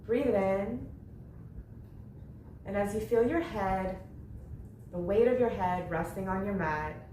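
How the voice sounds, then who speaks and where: A woman leading a guided yoga meditation, speaking gently in short, unhurried phrases with long pauses between them. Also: mid-range voice. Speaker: yoga nidra meditation instructor. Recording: roomy echo with faint background noise.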